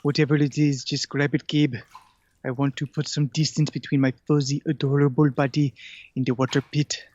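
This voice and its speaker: A man plays a prissy, vain little cat in a comic audio drama. The voice sits a bit high with restrained melody, on a slightly dull recording. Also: flat delivery, normal speed, darkish tone, lightly acted. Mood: impatient. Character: fastidious, vain small talking kitten. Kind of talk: audio comedy drama acting.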